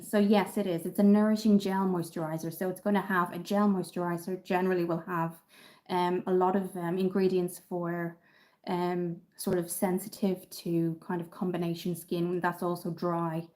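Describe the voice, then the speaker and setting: Chatty woman, mellow voice. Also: on the low side, little pitch movement, normal speed. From Ireland, Dublin. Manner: chatty, upbeat, many ums, reads out viewer comments. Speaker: beauty livestreamer. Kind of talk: casual livestream chat reading comments. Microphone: phone camera.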